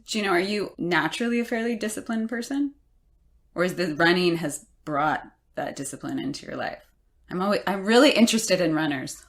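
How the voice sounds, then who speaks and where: Slightly low-pitched woman asking questions. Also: expressive, brisk. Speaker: podcast co-host. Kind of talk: casual conversational interviewing.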